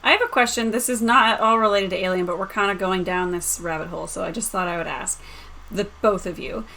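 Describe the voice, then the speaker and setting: A woman's voice: medium pitch, fast, vocal fry, sharp tone, soft background music. A woman on a discussion panel, chatting casually as she puts a question to the others. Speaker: podcast panelist. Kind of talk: casual chat, posing questions.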